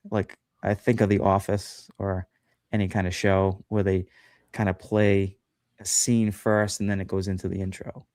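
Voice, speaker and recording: A man's voice: low voice, little pitch movement, moderate pace, darkish tone, dry recording. A man chatting as a guest on a livestream podcast, talking loosely with lots of fillers and self-deprecating asides. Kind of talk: casual chat on a livestream.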